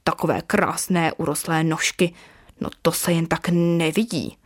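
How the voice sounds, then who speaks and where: A woman voicing an excitable, vain young male pet snake who talks to himself dramatically in a children's story. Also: lowish voice, no room sound, studio quality, natural voice. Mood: boastful.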